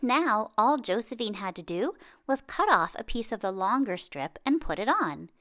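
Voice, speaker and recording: A woman reading a children's picture book aloud in an expressive way, with dramatic pauses and exclamations. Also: on the high side. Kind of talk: children's storybook narration.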